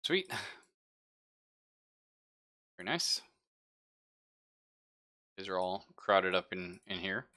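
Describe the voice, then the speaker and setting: A man acting as game master, narrating casually and haltingly as he thinks aloud. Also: low voice, big pitch swings, sharp tone. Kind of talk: casual narration of a tabletop roleplaying game, live stream.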